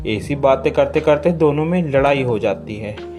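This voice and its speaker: A man's voice: mid-range voice, normal speed. A man narrating animal moral stories from a script in a storytelling style. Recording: plucked guitar music behind. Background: plucked guitar music.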